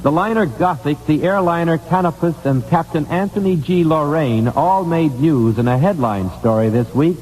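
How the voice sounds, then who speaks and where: A man's voice: a little high, moderate pace, few pauses, darkish tone, big-room sound, low-quality recording. An American man hosting a 1950s radio quiz show, putting questions to contestants on an old broadcast recording.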